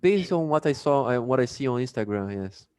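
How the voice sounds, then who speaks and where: Brazilian-accented man as a casual English teacher, animated, dry sound, talks with many fillers. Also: medium pitch, a little fast, no echo. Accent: Brazilian Portuguese. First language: Portuguese. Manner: chatty, many fillers, laughs often. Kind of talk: casual online class.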